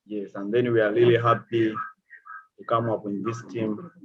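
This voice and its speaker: A man from Zambia with a Zambian accent, speaking halting, grateful remarks to a speaker with many pauses. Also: on the low side, level tone. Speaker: audience member asking questions. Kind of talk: casual spoken remarks. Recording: dull lo-fi call audio.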